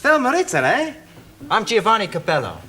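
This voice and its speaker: A man's voice: high voice, huge pitch swings, fairly quick, bright, forceful tone, exaggerated voice. An actor playing a cheerful man who speaks broken English and drops in words. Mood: jokey. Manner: broken English, jokey, mixes in Italian words. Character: cheerful Italian man, mangles English phrases. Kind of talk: studio sitcom acting.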